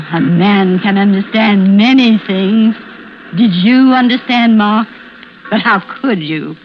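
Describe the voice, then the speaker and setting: An actress playing a murderous, scheming widow who taunts with false helplessness in an old-time radio suspense drama. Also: female voice, medium pitch. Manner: taunting, theatrical, drawn-out monologue. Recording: muffled vintage radio recording with music.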